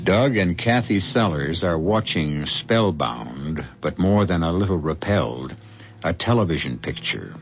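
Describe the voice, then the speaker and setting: A man hosting a 1970s radio mystery as a solemn, ominous storyteller, with deliberate and portentous dramatic phrasing. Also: low-pitched, sing-song, exaggerated voice. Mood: ominous, descriptive. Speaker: host narrator. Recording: muffled radio broadcast with music.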